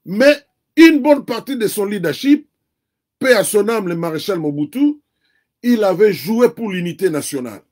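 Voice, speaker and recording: High-voiced Congolese man, earnest. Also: sing-song, darkish tone. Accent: Congolese French. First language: Kikongo. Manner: earnest, deliberate, lecturing. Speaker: interview guest. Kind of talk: political commentary in an interview.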